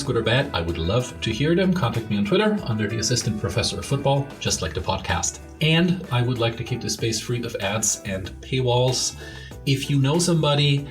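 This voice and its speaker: A man hosting a football podcast, earnest and slightly formal, reading his intro and asking questions. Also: mid-range voice. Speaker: podcast host. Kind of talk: scripted podcast intro and interview.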